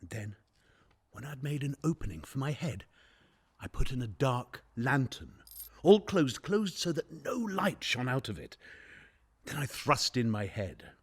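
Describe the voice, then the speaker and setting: Creepy nervous madman narrator, gothic radio play, slow n halting, voice all over the place, mid pitch. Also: animated, slightly rough. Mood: menacing, secretive. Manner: halting, stammering, theatrical, swinging from whisper to outburst. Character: nervous, unhinged madman confessing a murder obsession. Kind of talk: gothic radio drama monologue.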